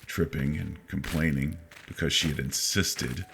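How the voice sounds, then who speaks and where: A man with an exceptionally deep voice narrates a horror story in the first person. He reads from a script as the storyteller. There is faint noise behind him. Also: fairly even intonation, unhurried, vocal fry.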